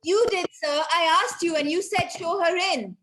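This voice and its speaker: A woman's voice: very high-pitched, normal speed, noisy background. A woman playing a dutiful bank assistant in a comic play, formal and deferential but firm. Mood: deferential, alarmed. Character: prim, dutiful office assistant to a bank manager. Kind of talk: comic stage-play acting.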